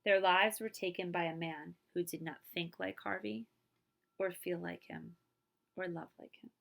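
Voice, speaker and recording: A woman librarian reading a picture book aloud to children, with expressive, emphatic phrasing and casual asides. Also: lowish voice, normal speed, creaky.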